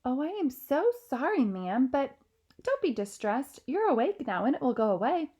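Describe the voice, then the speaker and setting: A woman's voice: sits high, very animated, moderate pace, warm tone, voice pushed up. A woman reading a storybook aloud, voicing a nervous maid who stammers and defers. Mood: nervous, deferential.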